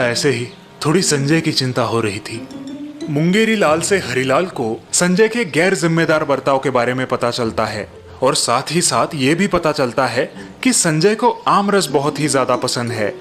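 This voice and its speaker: A man's voice: on the high side, fairly bright tone. A man reading scripted narration for a moral story, as its narrator.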